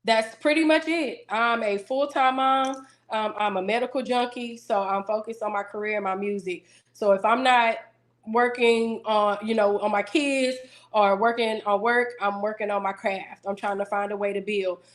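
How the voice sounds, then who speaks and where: A woman singer interviewed as a guest, talking casually in a self-assured, businesslike way with a deeper voice. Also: fairly even intonation, moderate pace. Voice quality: deeper singing voice.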